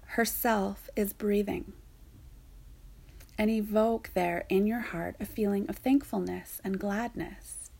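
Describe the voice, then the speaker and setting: A woman softly guiding a yoga nidra meditation in a soothing, breathy way, leaving long pauses. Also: mid-range voice. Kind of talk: guided meditation narration.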